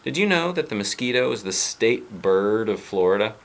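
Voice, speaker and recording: A man reading a brisk joking young teacher. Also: on the low side, very animated, pitched above the performer's own voice. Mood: brisk teasing. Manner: brisk, reassuring, lightly teasing.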